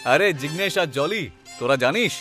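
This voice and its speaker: A high-voiced young village man, acted by a man in a cartoon tale, smug and taunting. He drags out his teasing words while music plays underneath. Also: lively intonation, moderate pace, natural voice. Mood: boastful, mocking. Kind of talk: animated cartoon story voice acting.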